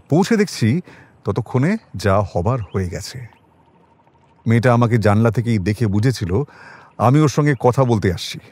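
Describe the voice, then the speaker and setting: Guy acting a seasoned detective about to retire, medium pitch, wry n thoughtful, chatty musing audio drama style. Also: lightly acted. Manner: musing, conversational, gently ironic.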